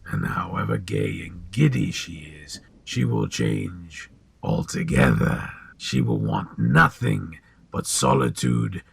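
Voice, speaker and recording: A man playing a sly, sinister old potion seller in an audio drama, with a gravelly, husky voice and a deliberate, insinuating manner. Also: deep voice, lively intonation, a bit bright, dry recording, full character voice. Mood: seductive persuasion.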